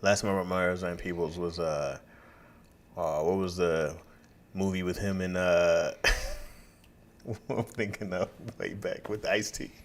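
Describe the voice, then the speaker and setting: A man co-hosting a podcast in casual, animated chat, often acting out the people he quotes. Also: deep voice, slow, pauses often, slight background noise. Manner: animated, acts out quoted exchanges. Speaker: podcast co-host.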